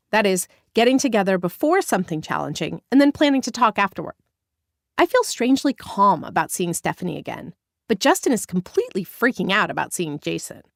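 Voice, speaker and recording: A woman from Northern California narrating her own memoir as an audiobook, candid and expressive, on a close studio mic. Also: on the low side, vocal fry, dry recording. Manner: expressive, candid first-person storytelling.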